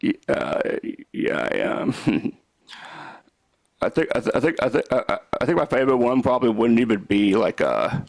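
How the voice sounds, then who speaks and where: A young man doing live stand-up with a stutter, talking slowly and haltingly with deadpan one-liners. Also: medium pitch, creaky, dry recording. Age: young adult. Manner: slow, halting delivery with restarts. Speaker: stand-up comedian. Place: comedy club stage.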